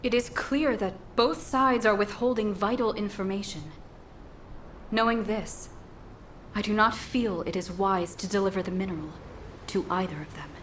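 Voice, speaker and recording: A woman's voice: mid-range voice, normal speed, slight background noise, close to an everyday voice. An actress playing a solemn warrior woman in a video game, earnest, with stiff formal diction. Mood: grave, formal.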